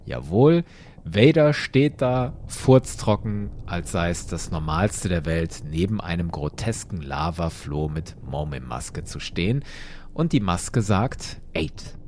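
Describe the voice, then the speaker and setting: A man's voice: deep voice, animated, slow, a little mellow, a little hiss or hum. A man retelling a comic as an audio story, mixing vivid narration with wry, casual asides. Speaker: podcast host and storyteller.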